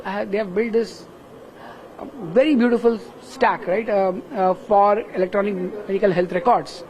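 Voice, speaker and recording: A man's voice: high voice, a little hiss or hum, rough recording. A man with an Indian English accent, a professor explaining his work in an interview in an earnest, step-by-step way. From Kerala, India. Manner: earnest, explains step by step with frequent "right?" tags. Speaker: professor interviewed at a tech summit. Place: busy summit venue.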